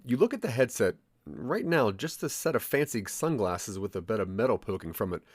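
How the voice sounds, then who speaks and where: A man's voice: lowish voice, very animated, brisk, slightly rough, no room sound, studio quality. A man narrating a scripted audio drama in the second person.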